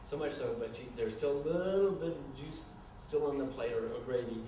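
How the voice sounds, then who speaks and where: A man narrating stage directions at a live staged reading in an informal, halting way. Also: mid-range voice, dark tone. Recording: echoey, noisy room from far off.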